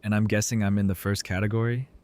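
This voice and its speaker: A man's voice: on the low side, level tone, fast talker, a little mellow, no echo, close to an everyday voice. A man playing a wry, earnest young doctor in an audio drama, with a casual, teasing delivery. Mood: dry teasing. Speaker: voice actor in a fan audio drama. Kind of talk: conversational audio drama acting.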